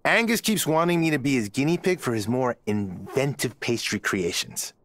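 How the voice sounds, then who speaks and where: An actor playing a blunt, fussy villager in a video game. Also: male voice, mid-range voice, expressive, normal speed, noisy background, natural voice. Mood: dry, disdainful. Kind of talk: video game character dialogue.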